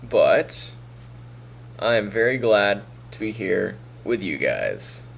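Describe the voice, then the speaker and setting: Groggy, slow-talking male streamer. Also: on the low side, gravelly, slight background noise. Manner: relaxed, talking to himself while troubleshooting. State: groggy morning voice. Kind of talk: casual live-stream chat.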